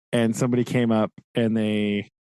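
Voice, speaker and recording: A man's voice: medium pitch, creaky, warm tone, smooth, no echo. A man co-hosting a podcast, casually retelling a novel's events with frequent "right?" checks and occasional laughs. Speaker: podcast co-host. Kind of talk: casual book discussion.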